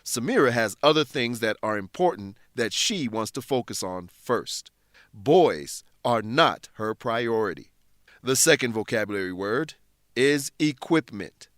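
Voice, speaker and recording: Male narrator for a language-learning programme: structured, explanatory scripted read, medium pitch, very dry sound. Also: expressive, unhurried, fairly bright tone, dry recording. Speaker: narrator and English teacher.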